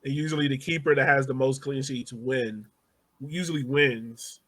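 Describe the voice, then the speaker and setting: A man's voice: medium pitch, fairly even intonation, moderate pace, a bit bright. A man hosting a casual sports podcast, talking conversationally and putting questions to his co-hosts. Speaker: podcast host.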